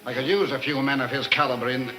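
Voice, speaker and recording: A man's voice: medium pitch, steady tone, fast, lightly acted, low-quality recording. A man playing a ruthless, scornful gang mastermind in an imperious, menacing way, in 1940s crime serial style. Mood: cold scheming. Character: ruthless, scornful male gang mastermind commanding his men. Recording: old 1940s film soundtrack with music.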